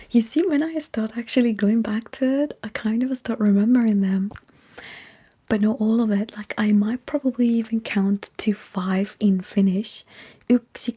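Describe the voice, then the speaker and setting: A woman whispering breathily in a halting ASMR livestream chat with her viewers. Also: mid-range voice, warm tone, breathy edge. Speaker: ASMR streamer chatting with live viewers.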